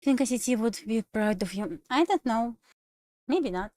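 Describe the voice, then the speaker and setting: A woman with a Russian accent, a native Russian speaker, chatting casually on a trading livestream in halting English with restarts. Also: a little high, lively intonation, a little mellow, no echo, clean studio sound. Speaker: livestream trader.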